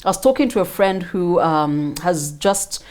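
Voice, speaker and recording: A woman's voice: lowish voice, expressive, measured pace, darkish tone. A woman with a Kenyan accent hosts a conversational interview, interjecting readily and repeating words for emphasis. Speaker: interview host.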